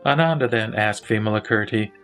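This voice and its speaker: A man reciting scripted scripture narration in a measured, solemn manner. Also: lowish voice, fairly bright tone. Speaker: narrator and reciter of a Buddhist sutra. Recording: background music under the voice. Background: instrumental music.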